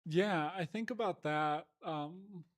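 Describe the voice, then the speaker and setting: Reflective man in casual talk. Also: fairly high pitch. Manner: reflective, measured, hedging with "like". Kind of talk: casual conversation.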